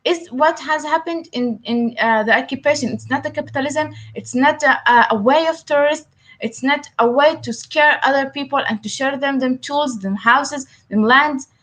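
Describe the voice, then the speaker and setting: A woman whose first language is Arabic answers interview questions in English, halting often with fillers and restarts. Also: high voice, fairly bright tone, low-quality recording. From Palestine. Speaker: guest.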